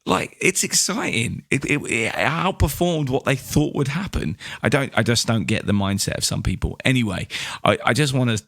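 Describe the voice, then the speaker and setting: A man's voice: mid-range voice, lively intonation, normal speed, gravelly, a bit bright, no echo. A man hosting a podcast, chatting casually and putting questions to guests. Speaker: podcast host. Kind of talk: casual podcast chat and interviewing.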